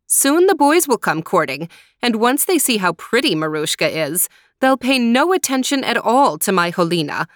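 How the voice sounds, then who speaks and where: A woman's voice: a little high, big pitch swings, normal speed, dry recording, clean studio sound, voice pushed up. A woman voicing a jealous, scheming stepmother in a storybook reading, plotting in a raised voice. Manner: plotting, scornful.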